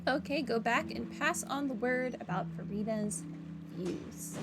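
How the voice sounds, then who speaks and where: A woman's voice: on the high side, measured pace. A woman giving casual commentary as she hosts a video game playthrough. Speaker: gaming video host. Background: game music.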